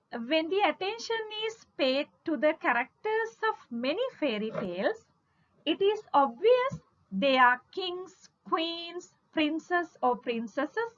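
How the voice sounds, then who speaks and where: Female lecturer for scripted lesson narration; high voice with lively, swooping intonation, deliberate and instructional, lo-fi sound. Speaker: English literature lecturer. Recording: dull lo-fi audio.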